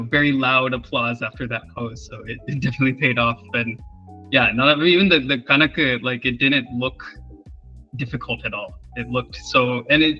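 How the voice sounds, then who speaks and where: A man hosting a relaxed conversation with dancers after a show, talking casually with many fillers. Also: mid-range voice, lo-fi sound. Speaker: host, composer and festival organizer.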